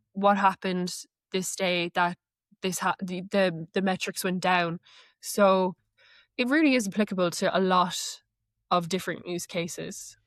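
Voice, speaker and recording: A woman's voice: medium pitch, fairly bright tone, no echo, clean studio sound. A woman giving conversational, earnest explanations as an interview guest. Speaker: guest, AI knowledge manager.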